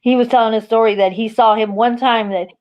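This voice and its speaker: A woman's voice: fairly high pitch, steady tone, a little fast. A woman chatting casually on a livestream, rambling with lots of fillers and restarts. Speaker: livestream co-host. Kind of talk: casual chat. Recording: dull lo-fi stream audio.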